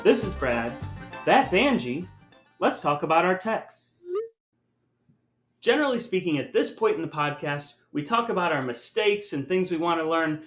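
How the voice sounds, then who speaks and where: A man's voice: a little high, animated, normal speed, fairly bright tone. A middle-aged American man hosting a podcast in a casual, conversational style. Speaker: podcast host. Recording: roomy sound with music.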